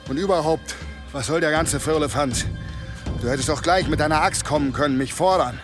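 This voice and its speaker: An actor in a radio play voicing a weary, repentant medieval warrior, grave and resigned in measured phrases. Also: male voice, on the high side, music in the background, lightly acted. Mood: weary, impatient. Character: weary, repentant medieval berserker warrior sworn against weapons.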